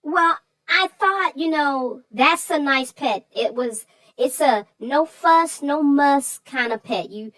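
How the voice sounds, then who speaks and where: High-pitched, animated woman discussing a storybook. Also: slow pace, dry recording. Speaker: co-host of children's story show. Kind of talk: conversational book discussion.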